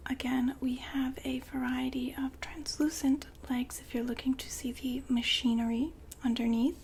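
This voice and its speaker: A woman whispering softly and politely in an ASMR customer-service roleplay, breathy and gently hesitant. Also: sits high, level tone, slight background noise. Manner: soft-spoken, polite, unhurried, gently hesitant. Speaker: ASMR roleplay performer as a shop consultant.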